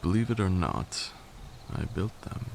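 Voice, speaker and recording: A man's voice: very animated, slight background noise, exaggerated voice. A man playing an ancient, brooding vampire lord in an intimate ASMR roleplay, seductive and menacing, with an archaic, commanding way of talking. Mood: proud, wistful. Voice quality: deep gravelly voice, breathy at times. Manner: archaic, deliberate, teasing and commanding.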